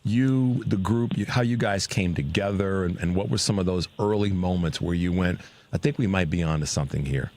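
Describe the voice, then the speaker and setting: A man hosting a radio interview show in a studio, talking in an excited, casual way with lots of stammered restarts. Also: deep voice, brisk. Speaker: radio host.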